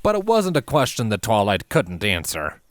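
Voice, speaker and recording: A man's voice: on the low side, wildly swooping pitch, moderate pace, dry recording, clean studio sound. A man reading a scripted fantasy story aloud as its narrator. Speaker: fan fiction reader, narrator. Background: background music.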